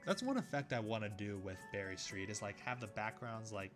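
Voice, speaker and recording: A man's voice: on the low side, fairly quick, music in the background. A man casually reading a visual novel aloud and commenting as he plays, often restarting phrases. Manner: casual, with fillers and repeated restarts. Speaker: gaming YouTuber.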